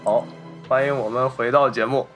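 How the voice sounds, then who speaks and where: A man's voice: medium pitch, fairly even intonation, moderate pace, soft background music. A man, talking casually about films as a podcast host. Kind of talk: casual film discussion.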